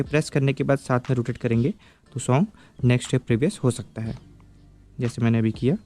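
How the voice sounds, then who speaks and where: Guy with a soft warm voice walking you through a gadget review, chill and patient, faint music behind. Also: mid-range voice. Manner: explains patiently, checks in with the viewer. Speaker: tech product reviewer. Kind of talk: casual step-by-step product demo.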